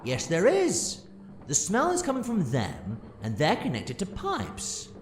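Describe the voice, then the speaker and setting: An actor playing an eccentric, quick-witted alien doctor in an audio drama, with a theatrical, wry manner. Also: male voice, high voice, huge pitch swings, slight background noise, full character voice. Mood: brisk, curious. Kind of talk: full-cast audio drama acting. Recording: roomy sound with music behind.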